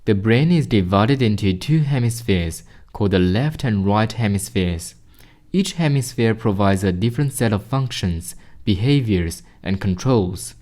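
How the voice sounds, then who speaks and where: Man, low mellow voice, measured formal delivery, scripted read-aloud. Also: expressive. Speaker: reader of practice passages.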